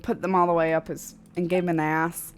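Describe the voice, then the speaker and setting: A woman's voice: on the low side, level tone, a little fast, a crowd in the background. A young woman chatting casually while streaming a game, opinionated and rambling, with slangy, crude turns of phrase. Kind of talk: casual live gameplay chatter. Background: game music and dialogue.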